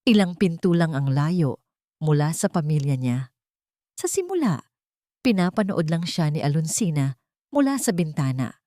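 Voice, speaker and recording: A woman narrating a dramatic romance story in a steady, scripted storytelling manner. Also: low-pitched, normal speed, pauses often, dry recording, clean studio sound.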